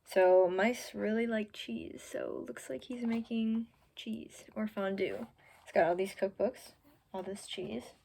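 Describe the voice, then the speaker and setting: A woman's voice: mid-range voice, steady tone, takes it slowly, vocal fry, darkish tone, a little hiss or hum. A young woman in her teens reading a children's picture book aloud, adding chatty, informal asides for young listeners. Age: teenager. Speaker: student volunteer reader.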